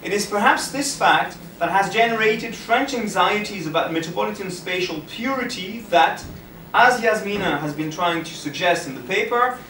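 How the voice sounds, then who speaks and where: A man reading an academic paper aloud at a conference, halting at times and correcting himself as he stumbles. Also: high-pitched, sharp tone. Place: indoor conference room. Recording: echoey room, distant mic, faint noise.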